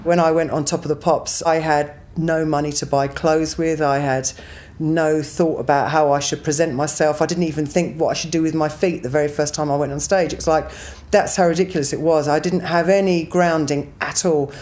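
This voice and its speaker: A woman from England with a deep voice, candidly reflecting on her past in an interview. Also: fairly even intonation, normal speed, a little mellow. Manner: candid, rambling self-reflection.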